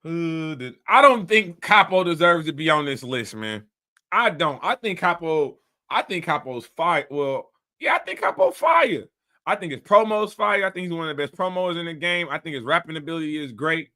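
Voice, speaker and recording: A man's voice: a little high, very animated, normal speed, bright, forceful tone. A man hosting a casual commentary show, talking bluntly and animatedly in a rambling way. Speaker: host.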